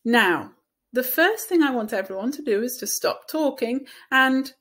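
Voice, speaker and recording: A woman reading a story and voicing a brisk, no-nonsense female schoolteacher who firmly instructs a class. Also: high-pitched, lively intonation, pitched above the performer's own voice, exaggerated voice. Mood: firm, commanding. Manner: firm, instructing, loud classroom voice.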